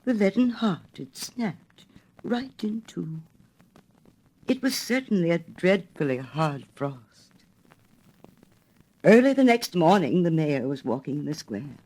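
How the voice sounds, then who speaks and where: A woman's voice: fairly low pitch, breathy edge, low-quality recording. Woman reading a fairy tale with sweeping intonation. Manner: expressive, measured delivery. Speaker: storyteller.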